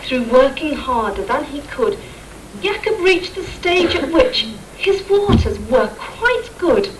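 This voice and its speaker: Female pantomime narrator, higher-pitched voice, reciting rhyming verse on a noisy live recording. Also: big pitch swings, slow. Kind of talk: rhyming scripted stage narration. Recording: distant microphone, clear background noise.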